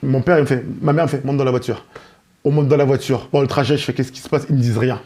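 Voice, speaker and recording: A young man from France with a Haitian family background, co-hosting a podcast and telling stories in an excitable, animated way. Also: medium pitch, fast. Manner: animated, excitable storyteller who repeats "mec" and "hein". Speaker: podcast co-host, stand-up comedian. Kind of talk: casual chat, storytelling.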